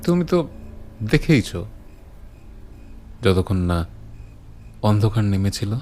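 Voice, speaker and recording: Slow, brooding actor as a male horror storyteller. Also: lowish voice, sing-song, a little mellow. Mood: grave, eerie. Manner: deliberate, suspenseful, with dramatic pauses. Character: brooding male storyteller recounting eerie legends to his friend. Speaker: narrator and lead character of a horror audiobook.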